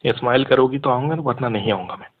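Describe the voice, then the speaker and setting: A man's voice: mid-range voice, fast talker, breathy edge. A young man in a casual phone conversation, speaking insistently and argumentatively, mixing in English words. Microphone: phone line. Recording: muffled phone call audio.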